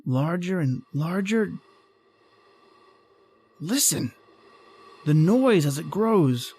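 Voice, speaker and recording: A woman's voice: fairly low pitch, expressive, very slow, a little mellow, a little hiss or hum. A woman playing an impatient, rational professor in a radio horror play, with a theatrical, exclamatory manner. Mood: stunned, urgent. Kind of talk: old-time radio horror acting.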